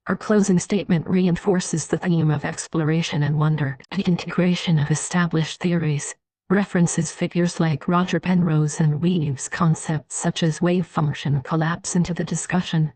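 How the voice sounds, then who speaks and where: Lady narrating, low mellow voice, formal and measured, reading scripted commentary. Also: fairly even intonation, dry recording, low-quality recording.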